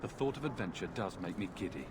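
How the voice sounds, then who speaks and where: Dry, low-voiced sarcastic adventurer. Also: male voice, animated, fast talker, very noisy. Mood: wry. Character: dry, sarcastic human adventurer, young man. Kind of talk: video game voice acting.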